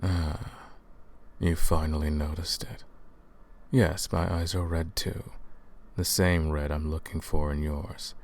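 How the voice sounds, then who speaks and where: Man playing a very deep, gravelly brooding vampire hunter. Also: flat delivery, a little mellow, heavily acted. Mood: wry, menacing. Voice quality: low, gravelly. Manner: measured, brooding, addresses listener directly. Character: centuries-old vampire who hunts vampires, brooding, menacing, world-weary. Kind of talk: scripted ASMR audio roleplay, dramatic monologue to listener.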